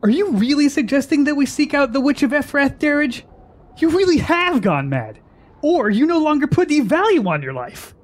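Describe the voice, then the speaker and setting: An actor playing a proud, stubborn warrior in a fantasy radio drama, speaking in a brusque, challenging way. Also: male voice, very high voice, sing-song, moderate pace. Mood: scornful, incredulous.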